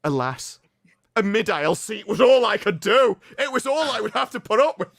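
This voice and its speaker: A man's voice: high-pitched, animated, normal speed, vocal fry, a bit bright, dry recording, studio quality. A man hosting a livestream, doing a mock-dramatic reading with commentary in a theatrical, sarcastic, mock-solemn manner. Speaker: livestream host.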